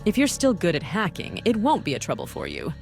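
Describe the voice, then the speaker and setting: Bossy woman operative voiced by a woman for a game, low register, brisk and dryly condescending, faint music underneath. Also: wildly swooping pitch, creaky, a little mellow, exaggerated voice. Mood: businesslike. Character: bossy, cynical woman running a secret task force. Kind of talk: visual novel voice acting.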